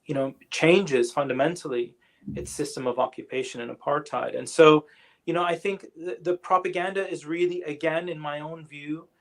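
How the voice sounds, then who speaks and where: A man with a fairly high voice takes audience questions after a lecture. He talks slowly and with care, stopping often on fillers and starting his sentences over. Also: steady tone, creaky. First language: English. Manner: thoughtful, hesitant, many fillers and restarts. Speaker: guest lecturer. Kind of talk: online lecture Q&A answer.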